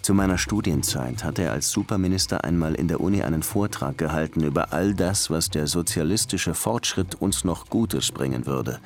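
An actor playing a dry, world-weary police lieutenant who narrates his case in a measured, wry way for a crime radio drama. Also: low voice, lively intonation, soft background music. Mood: reflective.

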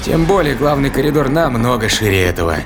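A man's voice: mid-range voice, expressive, fairly quick, over music, close to an everyday voice. An actor playing a hunted man in a science fiction audio drama, agitated and sardonic, talking to himself in exclamations. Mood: wary, puzzled. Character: hunted man, desperate, self-reproaching, sardonic sci-fi hero.

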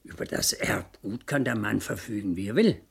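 An actor playing an elderly, pedantic Scottish solicitor in a radio play, speaking slowly and precisely with dry hesitations and a husky voice. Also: male voice, on the low side, animated, full character voice. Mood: dry, explanatory. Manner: slow, precise, hesitant murmurs. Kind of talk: German radio play acting.

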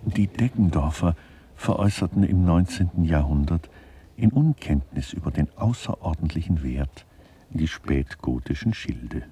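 An actor playing a dry, official museum announcer, reading out signs and facts impersonally in a radio play. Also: male voice, extremely low pitch, expressive, moderate pace, dark tone, husky, exaggerated voice. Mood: factual.

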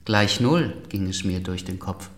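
A man's voice: low voice, measured pace. An actor playing a sardonic, sickly middle-aged nobleman in a radio play, agitated and theatrically mocking. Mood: bitter, agitated. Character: bitter, sardonic, ailing aristocrat in his forties or older. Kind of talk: German literary radio play acting.

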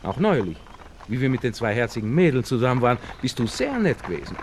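Young viennese gent in old radio drama, bossy but genial friend, very animated, bit noisy. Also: male voice, mid-range voice, quick pace. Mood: genial lecturing. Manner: lecturing, insistent, genial. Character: worldly, protective young Viennese gentleman, sociable friend. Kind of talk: 1960s German radio drama acting. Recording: noisy old radio play with outdoor ambience.

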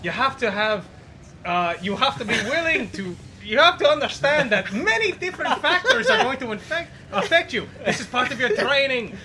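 A man's voice: extremely high pitch, big pitch swings, normal speed, background noise. A man voicing a pompous male guard captain and amateur bard in a slipping Irish-Scottish brogue, calling everyone lad.

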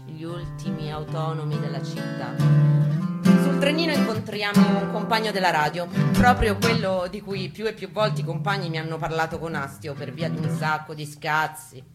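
Low-voiced woman reading over guitar. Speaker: reader at a live literary reading. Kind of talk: dramatic reading of a first-person book narrative with guitar. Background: acoustic guitar playing.

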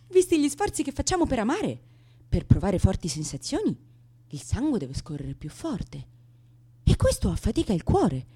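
A woman's voice: high-pitched, darkish tone, no room sound, exaggerated voice. Wounded woman, wildly swinging delivery. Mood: agitated, intense. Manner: theatrical, abrupt swings, rhetorical questions. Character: wounded, intense, unstable woman baring her soul. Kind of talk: theatrical radio drama monologue.

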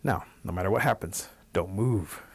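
A man's voice: low voice, normal speed, breathy edge. A man voicing a sly, scrawny trickster rabbit in an oral folktale, coaxing and bossy with emphatic delivery. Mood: bossy, assuring.

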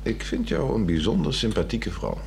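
A man with a Netherlands Dutch accent acting a polite, reserved husband with dry wit in a 1960s radio drama, measured and formal. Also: lowish voice, wildly swooping pitch, creaky, darkish tone, slight background noise, heavily acted.

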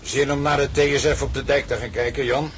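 An actor playing a gruff, practical older father from a seafaring family in a radio drama, with a gravelly voice. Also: medium pitch, fairly even intonation, fairly quick, slight background noise, natural voice. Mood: matter-of-fact. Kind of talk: Dutch radio drama acting.

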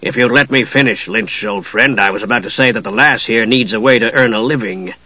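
A man voicing a gruff, proud, irritable dwarf rogue with a stiff, growling, muttering delivery in a fantasy storybook reading. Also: mid-range voice, expressive, brisk, a bit bright, no echo, poor audio. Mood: surly bargaining.

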